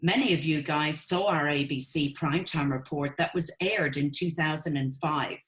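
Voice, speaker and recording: Low-voiced Irish woman presenting a webinar. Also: normal speed, a bit bright. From Ireland. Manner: upbeat sales pitch, addresses listeners as "guys".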